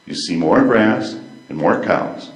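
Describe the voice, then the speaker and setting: A man's voice: low voice, expressive, slow, faint music behind. An older man in amateur readers theater playing a courteous, proud country hotel concierge with a salesman's patter. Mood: eager, courteous. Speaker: play reader. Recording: echoey room.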